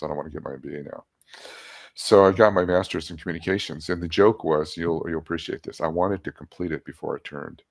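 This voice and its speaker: Man in his 70s, deep voice, gravelly, chatty and self-deprecating, interview guest. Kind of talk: casual storytelling interview.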